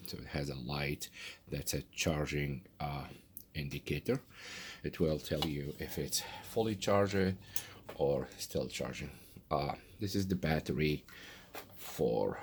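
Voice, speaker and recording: Very deep, gravelly-voiced man. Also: a little hiss or hum. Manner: halting, thinking aloud. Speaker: unboxing video presenter. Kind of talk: casual product unboxing commentary.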